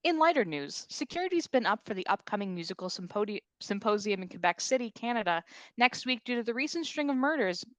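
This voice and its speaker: An upbeat television news anchor acted by a woman with a polished, presentational delivery. The voice is medium in pitch and closely, dryly recorded, in a comedy table read. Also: sing-song, fast, fairly bright tone, dry recording. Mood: upbeat, formal. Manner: presentational broadcast reading.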